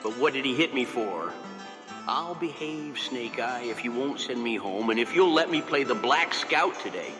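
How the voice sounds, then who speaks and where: A man's voice: mid-range voice, expressive, normal speed, close to an everyday voice. A man voicing a rowdy, defiant small boy in a storybook, sullen and threatening, with folksy talk. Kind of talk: storybook character voice. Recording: long reverb, music behind the voice.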